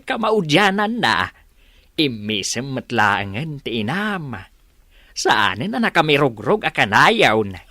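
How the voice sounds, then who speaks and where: An actor with an Ilocano accent playing an affectionate, easily amazed older farmer husband in a radio comedy drama. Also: male voice, mid-range voice, sing-song, slow, bright, forceful tone, dry recording, full character voice. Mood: fond, pleased.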